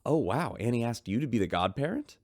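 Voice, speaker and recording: An actor playing a chatty, upbeat radio host aboard a starship in an audio drama. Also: male voice, medium pitch, big pitch swings, a little fast, darkish tone, no room sound, clean studio sound.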